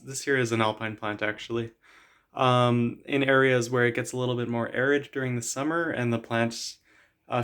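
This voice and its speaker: Casting: slightly hoarse, eager man showing off a plant to camera, mid-pitched, many fillers. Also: fairly bright tone. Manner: chatty, enthusiastic, frequent "uh" fillers. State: losing his voice. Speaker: plant vlogger. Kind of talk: casual show-and-tell.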